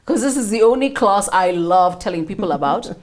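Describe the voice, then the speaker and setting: A woman with a Kenyan accent and a medium-pitched voice hosts a conversational interview. She talks with animated emphasis, repeating words to drive them home. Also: brisk. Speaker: interview host.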